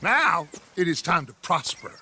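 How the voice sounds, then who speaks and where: A commanding American outlaw boss in a western game drama. He speaks slowly and grandly, swinging widely in pitch as if addressing followers, with a bright, forceful tone. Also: very animated, slight background noise, exaggerated voice. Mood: grand, reassuring. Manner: theatrical, rhetorical, speechifying. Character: charismatic, commanding outlaw gang leader, middle-aged man. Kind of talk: dramatic video game acting, grand oratory.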